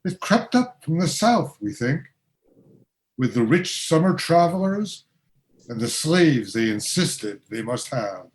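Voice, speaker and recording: A man's voice: a little high, big pitch swings, takes it slowly, slight background noise. A man playing an earnest male ghost from the 1820s who speaks with formal, old-fashioned phrasing. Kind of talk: community radio play acting.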